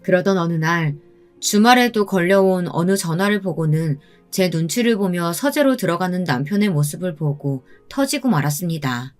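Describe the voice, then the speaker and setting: A woman reading a scripted first-person story in a measured, polite storytelling delivery. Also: on the low side. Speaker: story channel narrator. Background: background music.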